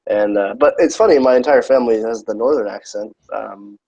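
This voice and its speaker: A man's voice: on the low side, a little fast, vocal fry, warm tone, husky, lo-fi sound. A young American man with a light Midwest accent, chatting casually as a guest and laughing often. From United States. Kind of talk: casual chat.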